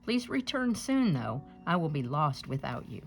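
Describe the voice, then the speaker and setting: A woman's voice: sits low, big pitch swings, normal speed, faint music behind. A woman voicing a proud, lonely male beast with a kind heart in a children's storybook, earnest and emotional. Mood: tender, pleading.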